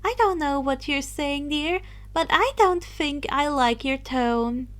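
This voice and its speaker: Teasing, affectionate teenage witch voiced by a female reader, whimsical, pitched up, storybook narration. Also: slow, a bit bright. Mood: gentle, motherly. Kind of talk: audiobook character voice.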